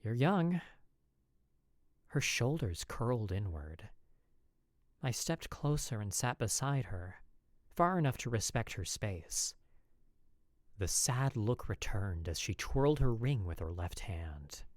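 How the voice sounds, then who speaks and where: Slow, expressive male story narrator. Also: fairly low pitch, no echo, clean studio sound. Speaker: podfic reader. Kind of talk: first-person story narration.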